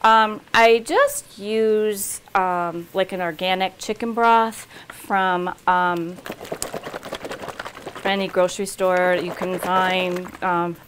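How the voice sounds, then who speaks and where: A middle-aged woman walks viewers through a recipe in a home kitchen, talking slowly and casually. She fills pauses with ums and starts sentences over. A faint hiss sits under her voice. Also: fairly low pitch, a bit bright. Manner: chatty, unhurried, many ums and restarts. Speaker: cooking show host. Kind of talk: casual cooking demonstration.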